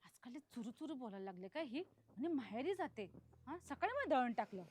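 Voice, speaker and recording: A woman's voice: high-pitched, very animated, fairly quick, a little mellow, a little hiss or hum. A woman playing a spiteful, domineering middle-aged mother-in-law in a family drama, with colloquial Maharashtrian Marathi and a scolding, repetitive way of talking. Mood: scheming muttering. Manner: scolding, repeats phrases insistently. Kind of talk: amateur family drama acting.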